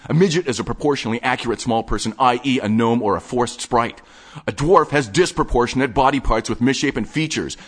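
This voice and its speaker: A man voicing a manic, obsessive male tape collector who talks in rapid, excitable, rambling bursts. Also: medium pitch, pitched above the performer's own voice, lightly acted. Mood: lecturing. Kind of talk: audiobook character voice.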